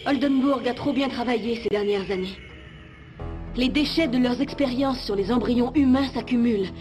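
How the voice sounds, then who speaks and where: A woman voicing a serious undercover informant in a French animated series, speaking in a grave, measured way. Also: a little high, close to an everyday voice. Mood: grave, urgent. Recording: music under the voice.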